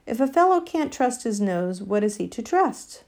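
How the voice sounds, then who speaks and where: A woman's voice: a little high, very animated, normal speed, a little mellow. A woman voicing a stubborn, indignant male bulldog in a storybook, blunt and insistent in manner. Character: stubborn, indignant male bulldog who trusts his nose. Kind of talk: storybook character voice.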